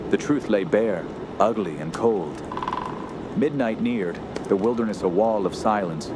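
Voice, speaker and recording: An actor playing an earnest, shaken young woodsman who tells his story in a radio horror drama, halting and solemn. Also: fairly low pitch, lightly acted. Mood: somber. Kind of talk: radio horror narration and acting. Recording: loud steady outdoor background noise.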